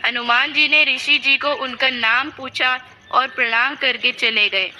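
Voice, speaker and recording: A woman's voice: sits high, steady tone, a little slow, sharp tone, faint music behind. A woman narrating a scripted story as a storyteller.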